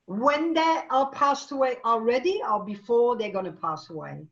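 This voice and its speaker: A woman's voice: fairly high pitch, lively intonation, normal speed, dull audio. A woman host asking curious, simple interview questions and offering short affirmations over a video call.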